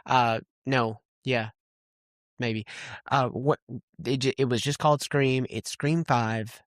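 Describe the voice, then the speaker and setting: Man, casual podcast co-host, rambling, blunt, swears a lot, mid pitch, dry sound. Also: steady tone, slow, no echo. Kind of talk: casual movie chat.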